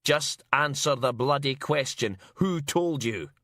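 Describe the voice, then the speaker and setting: A man's voice: medium pitch, moderate pace, fairly bright tone, husky, no room sound, pitched above the performer's own voice. Male narrator as an irate police inspector, middle-aged, sputtering, accusatory, audiobook drama, dry sound. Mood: exasperated. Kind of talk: audiobook character acting.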